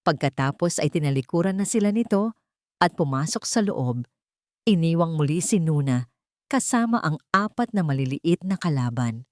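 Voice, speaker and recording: A woman with a Filipino accent reading a scripted romantic drama story with dramatic, deliberate phrasing. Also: low-pitched, animated, darkish tone, no echo, studio quality.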